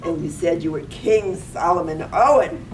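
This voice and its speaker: A woman's voice: normal speed, close to an everyday voice. A woman acting a teasing, scolding wife in a comic stage reading of a radio play, exclaiming her stories theatrically. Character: teasing, scolding wife in a Welsh village comedy. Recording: echoey, distant microphone, faint rumbling noise.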